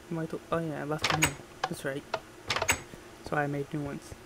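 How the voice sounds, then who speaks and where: A man with a fairly high, creaky voice talks casually over a game. He mutters to himself offhandedly, with little rise or fall. The recording has clear background noise. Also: level tone, slow pace. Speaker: gamer recording audio logs. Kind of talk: casual gameplay commentary.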